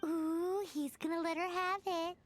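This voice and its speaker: A woman voicing a tiny, excitable childlike fairy companion in a video game, with a squeaky voice. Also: measured pace, slight background noise. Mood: chirpy, excitable. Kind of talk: animated video game voice acting.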